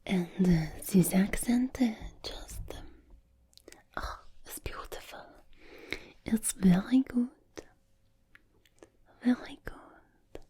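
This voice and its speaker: A woman voicing a sensual, languid French character with a put-on French accent, in a breathy ASMR whisper. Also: a little high, takes it slowly, pauses often, mellow, heavily acted. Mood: sensual, relaxed.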